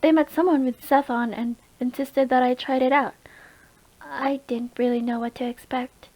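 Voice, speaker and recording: A woman's voice: high-pitched, fairly even intonation, normal speed, a little mellow, low-quality recording. A woman voicing a shy, overwhelmed young alien from the countryside, hesitant and apologetic, in an audio roleplay. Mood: nervous, shy.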